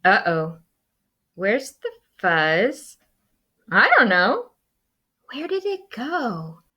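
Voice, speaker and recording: A woman voicing excitable, quarrelsome storybook prairie dogs with exclaiming delivery. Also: mid-range voice, drawn-out words, slightly rough, dull audio, exaggerated voice. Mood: puzzled, accusing.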